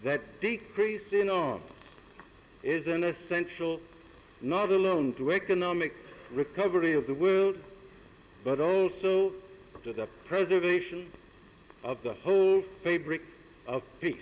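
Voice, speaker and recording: An American man giving a formal, measured, declamatory speech as a government delegate, in deliberate phrases. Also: high voice. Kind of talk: formal prepared conference speech. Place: large hall, speech at a podium. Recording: muffled 1930s newsreel, cavernous hall, hiss.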